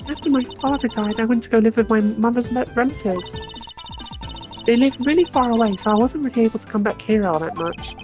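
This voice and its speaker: Idealistic, perky teenage girl thief, video game voiced by a woman; high-pitched, expressive and earnest. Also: fairly quick, lightly acted. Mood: cheerful. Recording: game music behind the voice. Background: game music.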